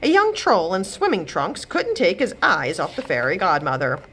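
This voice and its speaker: Animated woman reading a children's story. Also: lowish voice, moderate pace, slightly rough. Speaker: children's library read-aloud reader. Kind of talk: expressive storybook narration.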